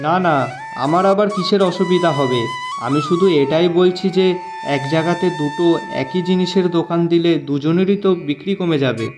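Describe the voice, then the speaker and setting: A man voicing an honest, gentle shopkeeper who pleads with his friend in a moral-story cartoon. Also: fairly high pitch, fairly quick, music in the background, lightly acted. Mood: meek. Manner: earnest, pleading, lamenting.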